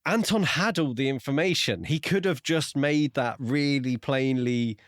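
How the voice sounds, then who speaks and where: A man's voice: mid-range voice, a little slow, dry recording, studio quality. A man co-hosting a book podcast, discussing his views in a casual, conversational way. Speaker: podcast co-host reviewing books.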